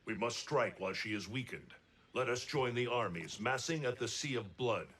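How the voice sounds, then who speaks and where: A man voicing a determined warrior ally in a video game, speaking with urgent, quick command. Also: medium pitch, lively intonation, fairly bright tone. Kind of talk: video game cutscene acting.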